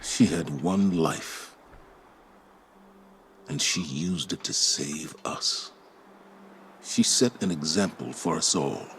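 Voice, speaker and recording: Very deep, grave veteran commander. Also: male voice, a bit bright, a little hiss or hum, heavily acted. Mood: restrained grief. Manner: solemn, halting, restrained. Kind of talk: dramatic video game monologue.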